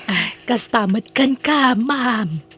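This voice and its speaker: A woman's voice: on the high side, lively intonation, takes it slowly, a bit bright, husky, exaggerated voice. A woman with an Ilocano accent playing a polite, deferential housemaid in an audio drama.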